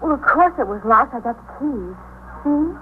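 A woman's voice: sits high, animated, normal speed. An actress playing a flustered, indignant woman in a 1950s radio drama, fussy and insistent. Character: flustered, indignant woman reporting her stolen car. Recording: narrow-band 1950s radio recording, faint noise.

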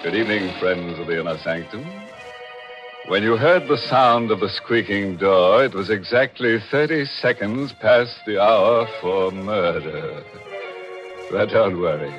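A ghoulish horror host from a 1940s radio mystery, speaking very low and slow. He is macabre and mock-genial, savoring his dark jokes with theatrical relish, with music clearly behind him. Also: expressive, muffled recording. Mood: macabre, teasing. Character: ghoulish, tongue-in-cheek male horror show host.

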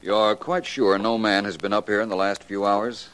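An actor playing a suave, shrewd private detective, polished and wryly courteous, in a late 1940s radio drama. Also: male voice, on the low side, flat delivery, normal speed, a bit bright, a little hiss or hum, lightly acted, rough recording. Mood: coolly probing. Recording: old 1940s radio recording.

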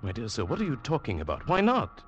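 A man playing a formal, sceptical gentleman traveller who narrates an eerie 1940s radio drama. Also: medium pitch, fast, lo-fi sound. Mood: bewildered, dismissive. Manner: formal, expressive, polite but incredulous. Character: reserved, sceptical English gentleman traveller recounting an eerie tale. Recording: vintage radio drama, music behind.

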